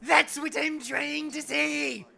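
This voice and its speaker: A man playing an excitable, boastful, slightly unhinged athlete in a comedy audio drama, raspy and effusive. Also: very high-pitched, takes it slowly, exaggerated voice. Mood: excited, rambling.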